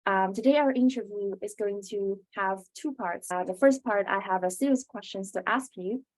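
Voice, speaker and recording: A woman's voice: mid-range voice, moderate pace, rough recording. A young woman speaking as the host of an interview, with a prepared, scripted way of introducing and asking questions. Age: young adult.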